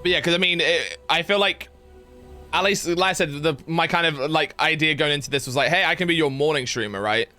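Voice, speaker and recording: A young man casually chatting while streaming a game, rambling with lots of fillers and excited yelps. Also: on the high side, a little fast, soft background music. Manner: rambling, filler-heavy, reads chat aloud, yelps with excitement. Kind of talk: casual live-stream gameplay chat.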